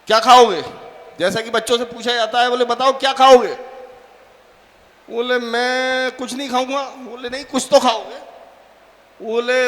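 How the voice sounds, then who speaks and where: A man's voice: extremely high pitch, a bit bright. A man from Bijnor hosting a poetry gathering on stage, telling a joke full of quoted voices. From Bijnor, India. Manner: storytelling with many quoted voices. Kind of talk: stage anchoring with a told joke. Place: large auditorium. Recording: long hall reverb.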